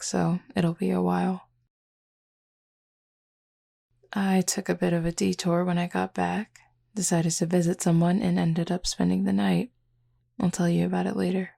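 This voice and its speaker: A woman playing a flirty, confident female werewolf in an intimate audio roleplay, with a husky, gravelly voice and a teasing, drawling, playfully commanding manner. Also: fairly low pitch, warm tone. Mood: wry, casual banter.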